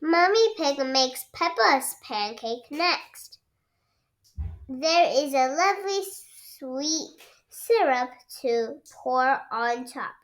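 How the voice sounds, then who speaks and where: A child reading a storybook aloud as host, halting and repeating words along the way. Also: high-pitched, expressive, a bit bright. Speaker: read-aloud channel host.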